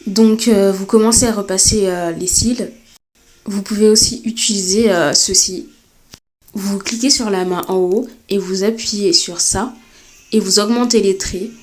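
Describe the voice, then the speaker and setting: A woman with a cold giving a step-by-step drawing tutorial, talking hesitantly with many filler pauses. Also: medium pitch, fairly even intonation. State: has a cold. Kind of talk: screen-recorded step-by-step tutorial.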